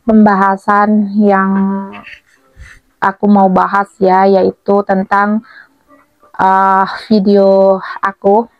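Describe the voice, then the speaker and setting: A woman's voice: medium pitch, dry recording. A woman vlogger talks casually to her viewers. She takes her time over each phrase and has a cold with a cough and sore throat. The sound is dry and close, but somewhat dull and lo-fi. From Blitar, East Java, Indonesia.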